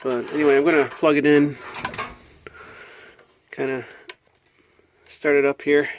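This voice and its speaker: Higher-voiced American man, casually thinking aloud. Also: level tone, measured pace. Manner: hesitant, thinking aloud, puzzled. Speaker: hobbyist video maker. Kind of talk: casual show-and-tell. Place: indoors at a home workbench.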